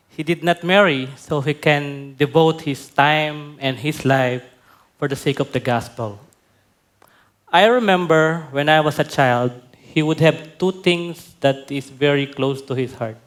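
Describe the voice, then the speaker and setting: A male pastor giving a conference talk, telling a personal story in a slow, reflective way. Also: on the high side, a bit bright. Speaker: pastor, speaker. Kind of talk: sermon talk.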